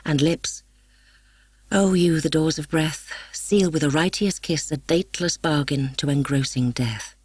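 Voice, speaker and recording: A woman's voice: low voice, measured pace, darkish tone, husky, no echo. A woman voicing a lovelorn, reckless young man in a storybook reading, theatrical and impassioned. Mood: mournful farewell. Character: lovelorn, reckless young man, tender then furious, doomed. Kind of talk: dramatic audiobook character reading.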